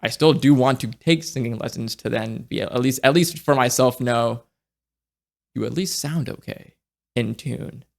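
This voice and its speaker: Gravelly, halting young man. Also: mid-range voice. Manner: halting, with many restarts and fillers; reflective, searching for words. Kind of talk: casual conversation.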